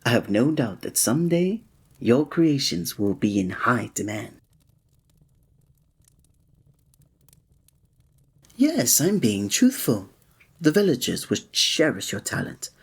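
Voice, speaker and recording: Man playing a soft, breathy, gallant fantasy innkeeper. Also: medium pitch, sing-song, a little slow, exaggerated voice. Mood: admiring, earnest. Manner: formal, old-fashioned, gallant, gentle phrasing. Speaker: ASMR roleplay performer. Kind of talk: scripted romantic ASMR roleplay.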